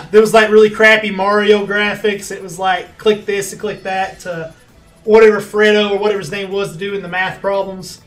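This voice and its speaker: A man's voice: high voice, little pitch movement, moderate pace, a bit bright, rough recording. A man in his twenties hosting a casual gaming stream on a headset mic, joking about himself in a laid-back way. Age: 20s. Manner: laid-back, self-deprecating joker. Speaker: streamer host. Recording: faint game music behind.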